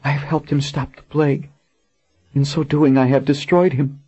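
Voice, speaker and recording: Brief: male reader doing a desperate young queen, high, breathy and pained. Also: steady tone, normal speed, mellow. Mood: anguished. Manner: anguished, pleading. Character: grieving young queen, desperate then resolute. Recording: dull lo-fi audiobook recording.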